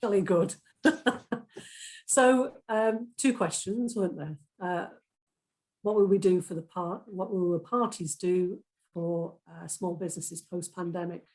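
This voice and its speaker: A woman with a Lancashire accent giving a brisk, persuasive speech as a candidate at an election hustings. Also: lowish voice, darkish tone. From Lancashire, England. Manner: brisk, persuasive campaign speaker.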